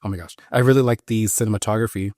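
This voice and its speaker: A man co-hosting a podcast, reviewing films in a casual, halting conversational way. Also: fairly low pitch, steady tone, a little mellow, dry recording, clean studio sound. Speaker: podcast co-host. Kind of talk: casual film review chat.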